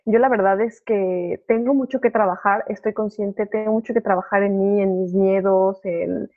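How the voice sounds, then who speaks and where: A young Mexican woman talks casually about herself as an interview guest. Her voice is medium in pitch and comes through a thin, phone-like connection. She is chatty and often rephrases mid-sentence. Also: steady tone, moderate pace. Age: young adult. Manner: chatty, informal, self-correcting. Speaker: podcast guest. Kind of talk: casual chat, personal story. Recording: narrow phone-like remote call audio.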